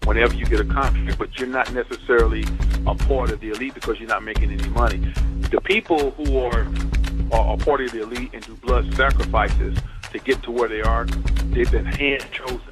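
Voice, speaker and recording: Casting: emphatic male talker, mid-pitched, informal exposé delivery that hammers repeated phrases, clear music underneath. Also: fairly even intonation, brisk.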